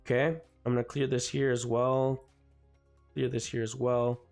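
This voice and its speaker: A man with a slightly raspy voice from the cold hosting a live trading stream, chatting casually and filling gaps with "guys" and "you know what I mean". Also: mid-range voice, little pitch movement, moderate pace, a little mellow. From Canada. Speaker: forex trading livestream host. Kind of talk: live market commentary. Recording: faint music behind.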